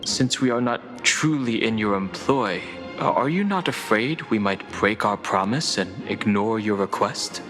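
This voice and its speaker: A man's voice: lowish voice, lively intonation, measured pace, hardly any pauses, a bit bright, in a large hall, soft background music. An actor playing a polite, wary male assassin in a game, formal and questioning.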